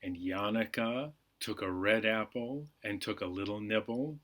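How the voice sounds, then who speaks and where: A man hosting a storytime, reading bedtime stories to children with unhurried, deliberate phrasing. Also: on the low side, a bit bright. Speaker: library storytime host.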